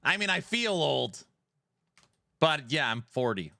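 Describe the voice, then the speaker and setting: A man's voice: a little high, very animated, slow pace, gravelly, sharp tone, a little hiss or hum. A man in his forties hosting a casual live stream, chatting and reading viewer messages aloud. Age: 40s. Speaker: streamer host.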